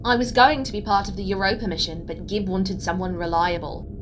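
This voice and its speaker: Casting: actress as sardonic female space mechanic, mid-pitched, dry, blunt, nursing a grudge, naturalistic audio drama. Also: steady tone, brisk, natural voice. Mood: wry, resentful. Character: sardonic, resentful, plain-spoken female space engineer. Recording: faint rumbling background noise.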